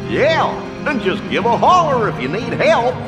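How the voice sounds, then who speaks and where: A lanky, goofy cartoon dog from a video game. He is folksy and bumbling, swooping way up high. Music plays clearly underneath. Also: very animated, normal speed. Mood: eager.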